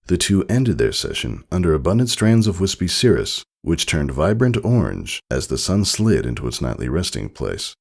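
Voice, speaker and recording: Deep, creaky-voiced male storyteller. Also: big pitch swings, moderate pace, hardly any pauses. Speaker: narrator reading fan fiction aloud. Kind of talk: expressive scripted story narration.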